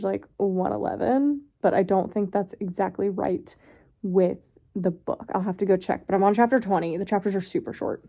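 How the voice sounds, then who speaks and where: A woman's voice: mid-range voice, mellow. A woman in her thirties vlogging about books in a rambling, casual way, tired and congested, recorded as a lo-fi phone clip. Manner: rambling, self-correcting, fillers like "like". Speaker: book vlogger. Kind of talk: casual chatty vlog. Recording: faint background noise, lo-fi phone clip.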